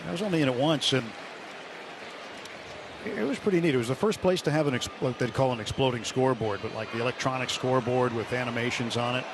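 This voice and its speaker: A man doing color commentary on a baseball radio broadcast, relaxed and chatty with small restarts. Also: mid-range voice, fast. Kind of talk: casual booth chat. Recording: radio broadcast with crowd noise behind.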